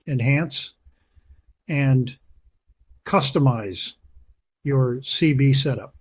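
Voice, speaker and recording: A man who hosts a channel, giving a punchy, emphatic scripted narration with dramatic pauses. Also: medium pitch. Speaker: channel host.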